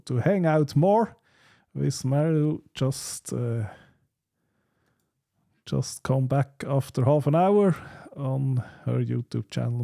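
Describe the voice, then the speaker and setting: A man hosting a casual livestream chat, speaking in halting, hesitant phrases. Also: medium pitch, gravelly, dark tone. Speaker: livestream host.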